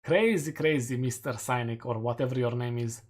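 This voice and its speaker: A man's voice: medium pitch, normal speed. A man with a Romanian accent, a streamer casually going over community poll results with self-mocking jokes. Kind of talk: casual chat reading community polls.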